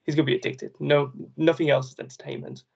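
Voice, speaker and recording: Gravelly, husky-voiced man rambling. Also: on the high side, fast talker, lo-fi sound. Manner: rambling, animated, many restarts. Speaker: guest. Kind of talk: casual chat.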